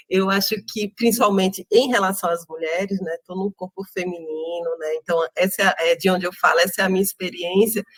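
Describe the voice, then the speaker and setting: A woman with a Northeastern Brazilian accent, an interview guest speaking thoughtfully with many pauses and tag questions. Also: medium pitch, dry recording. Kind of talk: reflective interview talk.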